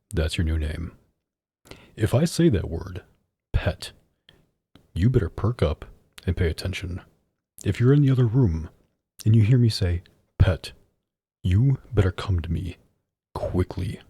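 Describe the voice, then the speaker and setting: A man playing a domineering, sardonic mafia boss in an intimate ASMR roleplay, deliberate and commanding, deep and husky, close on a studio microphone. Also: mellow, no room sound, full character voice.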